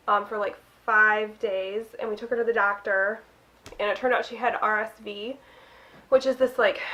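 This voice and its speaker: A woman's voice: a little high, fairly bright tone, dull audio. A young woman with a stuffy nose from a cold, chatting casually to camera about her reading, with lots of little "um"s and laughs. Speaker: book vlogger.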